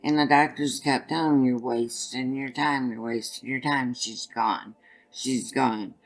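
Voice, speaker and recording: Raspy-voiced older woman. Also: very deep voice, fairly even intonation, rough recording. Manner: halting, restarts often while recounting. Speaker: guest. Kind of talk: personal story in an interview.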